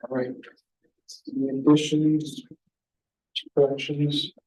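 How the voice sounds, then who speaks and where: A slightly hoarse man chairs a public board meeting, moving through roll call and motions in a methodical way. His voice is higher than most men's yet very dark in tone. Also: flat delivery, takes it slowly, low-quality recording. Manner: procedural, methodical.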